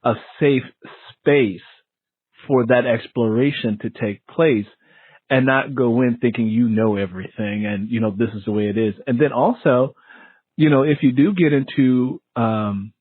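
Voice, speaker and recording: A man hosts a book interview in an easy, conversational register. His voice sits at a middle pitch and is recorded dry and close. He talks informally, with colloquial asides. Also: a little slow, slightly rough, no echo, phone-quality sound. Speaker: interviewer and fellow author.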